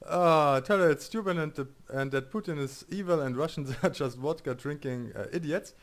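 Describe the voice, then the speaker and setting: A man with a German accent plays through a game on stream and talks over it in a joking way. He reads the options out loud and mulls them over in loose, chatty English. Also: a little high, moderate pace. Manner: joking, reads choices aloud, thinks out loud. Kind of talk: casual let's-play commentary.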